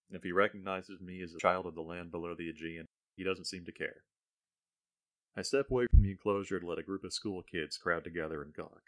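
A man's voice: sits low, a bit bright. A man, the author, reading his own science fiction story aloud in the first person, with a dry, wry storytelling tone.

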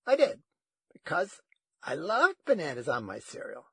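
A feverish old grandmother, voiced by a male narrator, pitched high and strained. She wanders wistfully through her words in an audiobook story, hesitant and a little sly, in a close, dry recording. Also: wildly swooping pitch, measured pace, gravelly, slightly rough, no room sound, exaggerated voice. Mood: plaintive.

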